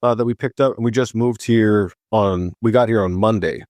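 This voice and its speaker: A man from Hawaii, a podcast guest, telling his story in a chatty, casual way and voicing himself with lively quotes. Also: on the low side, normal speed, no echo. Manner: chatty, animated voice when quoting himself.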